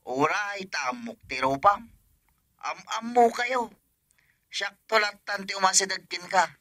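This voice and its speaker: A man playing a cheeky stranger in a rural radio comedy. He is insistent and teasing, with a bright, forceful, slightly high voice recorded dry. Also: measured pace, no echo. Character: pushy, cheeky male stranger making a phone call.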